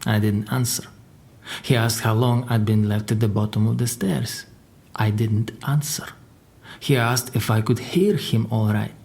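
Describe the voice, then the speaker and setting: An actor playing a crime boss's guarded secretary in an audio drama, telling his story in a dry, deliberate way. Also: lowish voice, a little mellow. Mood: grave, measured. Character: formidable Russian crime boss's secretary, guarded male survivor. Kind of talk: audio drama dialogue and narration.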